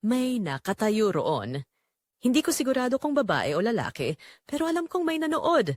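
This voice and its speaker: A woman's voice: a little high, sing-song, normal speed, a little mellow, dry recording, clean studio sound. A woman narrating a scripted first-person audio drama in short, dramatic, suggestive phrases.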